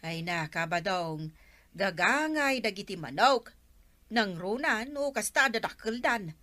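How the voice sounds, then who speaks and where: A woman's voice: mid-range voice, animated, measured pace, sharp tone. A woman with an Ilocano Filipino accent playing a questioning, argumentative village neighbour in a comedy skit. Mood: exasperated. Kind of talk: amateur comedy skit acting.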